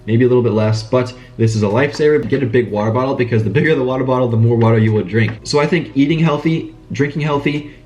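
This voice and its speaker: A man's voice: medium pitch, a little mellow, dull audio. A young man with a cold, sounding nasal, giving casual advice straight to the camera in an earnest, repetitive way. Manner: brisk, earnest, repeats phrases for emphasis. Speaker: channel host giving business advice.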